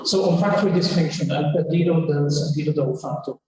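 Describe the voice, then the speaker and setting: A man giving a scientific workshop talk, relaxed and halting, with many fillers and restarts. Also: on the high side, crowd noise. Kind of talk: conference lecture. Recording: very echoey hall, faint background hiss.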